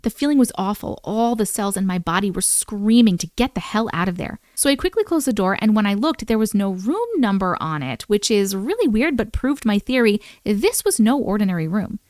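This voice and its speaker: A woman in her thirties reading viewer-submitted scary stories aloud in a bedroom, breaking off for chatty, animated comments. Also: mid-range voice, brisk, few pauses, no room sound, clean studio sound. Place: bedroom, indoors. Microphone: large studio mic on boom in front of her.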